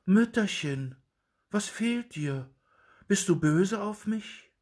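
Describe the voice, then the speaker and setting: A man's voice: high-pitched, fairly even intonation, a little slow, pitched above the performer's own voice. A man voicing a frightened young man turned into a dwarf, pleading anxiously in a storybook reading. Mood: bewildered.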